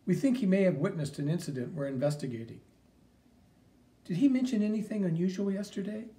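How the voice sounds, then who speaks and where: A man reading an audiobook, voicing a polite police officer who speaks in a formal, measured way. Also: high-pitched, sing-song, mellow, pitched above the performer's own voice.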